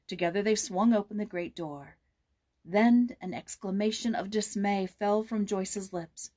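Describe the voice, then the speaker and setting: A woman narrating a children's classic aloud as an expressive audiobook reader. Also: medium pitch.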